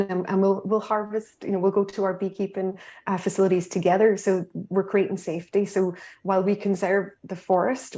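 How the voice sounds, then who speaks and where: A woman's voice: fairly low pitch, dark tone, highs cut off. A woman on a panel answering questions in an earnest way, with many restarts and fillers.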